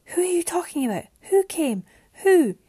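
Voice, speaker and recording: A woman voicing a gentle young storybook heroine, emotional, anguished and pleading. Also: mid-range voice, normal speed, husky, lightly acted. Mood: shocked, pleading.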